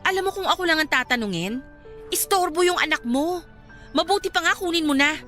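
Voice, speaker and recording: Smug, high-voiced Filipino villainess. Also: female voice, lively intonation, fairly quick, bright, forceful tone, exaggerated voice. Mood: dismissive, impatient. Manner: dismissive, taunting, snappy. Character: smug, cold-hearted young married woman, a scornful rival. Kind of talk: Filipino radio drama acting. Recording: dramatic music bed behind the voice.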